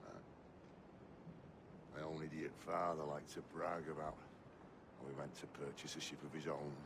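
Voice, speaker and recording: An actor playing a fierce, foul-mouthed pirate captain who is gruff and mocking and often shouts. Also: male voice, rumbling low, level tone, moderate pace, noisy background. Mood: grim, gruff. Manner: gruff, snarling and mocking, bursts into furious shouts. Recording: game score under the voice.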